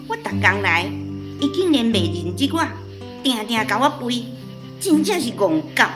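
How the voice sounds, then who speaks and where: An actress playing a chatty, teasing village woman in a radio drama, expressive and with a Taiwanese accent. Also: a little high, over music. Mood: mock grumbling. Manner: expressive, drawn-out exclamations.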